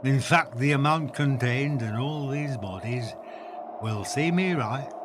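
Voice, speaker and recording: A man's voice: medium pitch, measured pace, noisy background, close to an everyday voice. An actor playing a weary, wry undead man in a radio play, talking with dry amusement. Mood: menacing calm. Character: weary, wry undead man, blood-drinker who spares his visitor.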